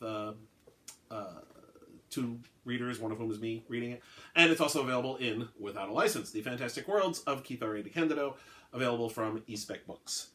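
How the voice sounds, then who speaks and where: A man's voice: mid-range voice, a bit bright. A man speaking casually to listeners as an author who reads his own fiction.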